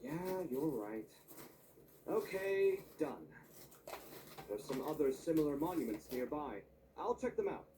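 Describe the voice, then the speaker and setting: Young male scholar for game dialogue: animated intonation, inquisitive, wistful, faint noise behind. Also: fairly high pitch, measured pace, pauses often, distant mic.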